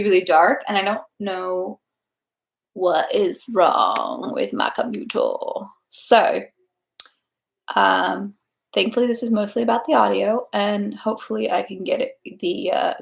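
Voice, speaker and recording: A woman's voice: medium pitch, vocal fry. A woman hosting a read-aloud livestream, speaking informally in an echoey indoor room. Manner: informal, rambling, self-interrupting. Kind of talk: casual livestream chat, then audiobook-style reading.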